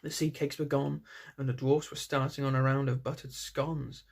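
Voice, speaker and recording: Creaky-voiced male storyteller. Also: mid-range voice, little pitch movement, moderate pace. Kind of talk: story narration read aloud.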